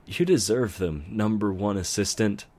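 A man voicing an eager, bookish young unicorn mare in a fan story reading, in a raised, bright, kind voice. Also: lowish voice, moderate pace, voice pushed up, natural voice. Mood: praising.

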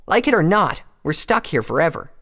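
A man's voice: on the high side, very animated, fairly quick, vocal fry, bright, forceful tone, pitched above the performer's own voice, heavily acted. A man voicing a brash, taunting female pegasus pony with a harsh, scornful, emphatic delivery in a fantasy story. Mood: angry, scolding.

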